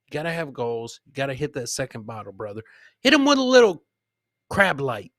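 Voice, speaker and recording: A man hosting a live comedy podcast, casually reacting to funny clips in a rambling, jokey, animated way and repeating his catchphrases. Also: medium pitch, a little fast, no echo, clean studio sound.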